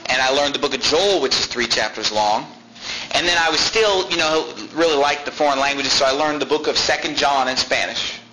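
A man in his twenties telling a personal story to a live audience in a chatty, self-deprecating way. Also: mid-range voice. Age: 20s. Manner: chatty and self-deprecating, with frequent "I mean" and quick laughs. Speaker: speaker addressing a congregation. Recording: echoey room, faint background noise.

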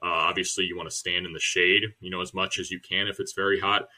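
A young man with a deep voice giving casual, plain-spoken instructions, with many fillers. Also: level tone, fast talker, sharp tone. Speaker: church member teaching soul winning.

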